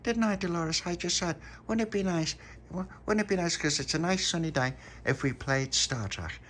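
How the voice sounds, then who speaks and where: A man's voice: fairly high pitch, normal speed, fairly bright tone, a little hiss or hum, pitched above the performer's own voice, natural voice. A man voicing a fussy, self-important chatty young girl in a storybook.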